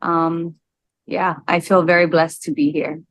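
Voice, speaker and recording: A woman in her forties talks openly in an interview, stopping often with an "um" as she thinks. Her voice sits a little low for a woman. The sound is dry and close, with no room around it. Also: dry recording. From Hawaii. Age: 40s. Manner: relaxed, candid, with frequent "um" pauses. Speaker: interview guest. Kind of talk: casual interview answers.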